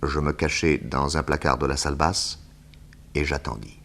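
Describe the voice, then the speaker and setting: An actor playing a bold, self-assured detective hero who narrates and acts in a 1960s French radio drama. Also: male voice, extremely low pitch, little pitch movement, moderate pace, a bit bright, heavily acted. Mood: composed storytelling.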